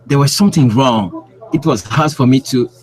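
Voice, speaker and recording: A man's voice: medium pitch, moderate pace, a little mellow. A man from Cameroon, a guest researcher speaking on a remote call, who often stops to search for words. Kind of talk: interview on a call.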